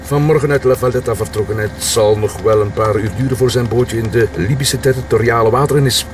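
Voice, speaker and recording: An actor playing a droll, easygoing Flemish police colleague in a naturalistic radio drama. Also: male voice, mid-range voice, steady tone, fairly quick, distant mic, noisy background, natural voice. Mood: droll, calm. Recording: off-mic, music behind.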